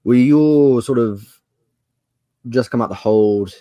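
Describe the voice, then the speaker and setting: A man's voice: mid-range voice, slow, mellow, no room sound. A man running a tabletop fantasy game as game master, improvising narration and giving players instructions. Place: dry room on an online video call.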